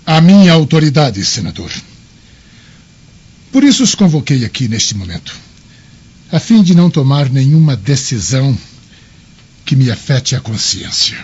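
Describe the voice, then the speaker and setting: An actor playing an authoritative Roman governor in a radio drama, solemn and oratorical, with a husky voice. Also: male voice, mid-range voice, big pitch swings, normal speed, pauses often, a little mellow, highs cut off. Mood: solemn, commanding.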